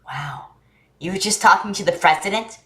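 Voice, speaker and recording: A woman's voice: mid-range voice, normal speed, pushed and bright, echoey room, close to an everyday voice. A woman acting a naive, chatty young boy in a staged play reading, earnest and full of questions. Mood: puzzled. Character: naive, eager, chatty young boy who loves jokes.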